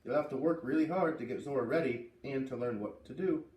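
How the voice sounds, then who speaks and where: A man's voice: medium pitch, fairly quick, darkish tone, roomy sound, far from the mic, natural voice, rough recording. A man voicing a confident, teasing, laconic young farm hand who coaches a beginner in a storybook. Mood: teasing, challenging.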